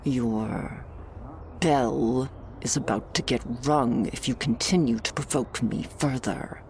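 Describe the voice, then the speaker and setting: Woman doing a really deep-voiced bossy vampire lady, irritable n curt, audio roleplay with noise behind. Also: takes it slowly, a little mellow, heavily acted. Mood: irritated. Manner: curt, scolding, commanding. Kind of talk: scripted roleplay audio drama.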